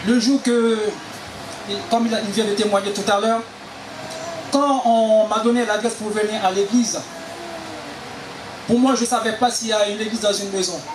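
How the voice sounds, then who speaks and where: A man's voice: high-pitched, steady tone, normal speed, pauses often, reverberant, background noise. A man preaching as a guest, exhorting the congregation and punctuating his phrases with amens. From Haiti. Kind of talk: sermon with call and response.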